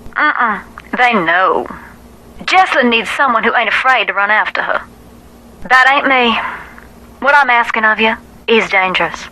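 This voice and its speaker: Grave superstitious woman, bright forceful voice, a bit high, earnest folksy warnings, game dialogue, telephone filter. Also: expressive, unhurried, pauses often, poor audio. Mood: grave, urgent. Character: grave, superstitious woman urgently asking for help.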